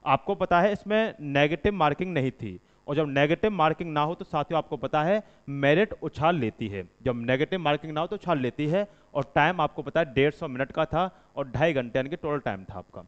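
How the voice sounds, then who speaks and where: A man's voice: on the high side, a little fast, few pauses. A man explains things informally to students as an exam-coaching presenter, instructing and repeating points for emphasis. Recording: dull lo-fi recording, long reverb.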